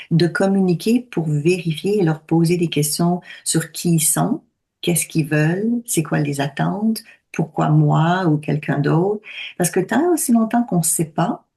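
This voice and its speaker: A woman in her sixties speaks in a slightly low, calm voice. She explains her points slowly and deliberately in easy conversation. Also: vocal fry. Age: 60s. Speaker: medium. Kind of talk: conversational talk.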